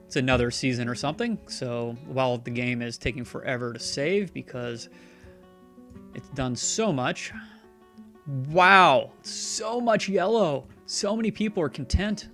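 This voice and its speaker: A man's voice: on the high side, expressive, a little slow, fairly bright tone, faint music behind. A man streaming a video game, chatting loosely and sarcastically talking back to the characters on screen. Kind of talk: rambling live-stream commentary.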